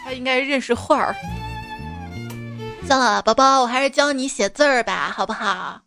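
High-voiced playful mother over music. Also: moderate pace, gravelly, fairly bright tone. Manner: playful, coaxing, addressing a child. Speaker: mother and comic storyteller. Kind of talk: scripted comic parent-child chat. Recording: background music under the voice.

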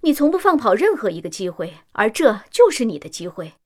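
Sci-fi radio drama, indignant, defiant female technician: higher-set, expressive, sardonic and sharp-tongued. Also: brisk. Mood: scornful. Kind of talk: Chinese science-fiction radio drama acting.

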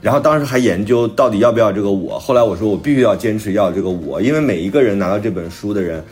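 A man's voice: lowish voice, lively intonation, fast talker, a little mellow, soft background music. A middle-aged man talking casually as a novelist, telling stories and acting out bits of quoted conversation. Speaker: novelist guest discussing own book.

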